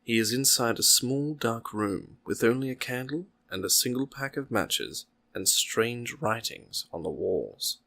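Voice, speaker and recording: A man's voice: mid-range voice, expressive, a little slow, gravelly, hardly any pauses, pushed and bright, no room sound. A man presenting a story podcast and narrating short fiction from a script. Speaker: podcast host and story reader.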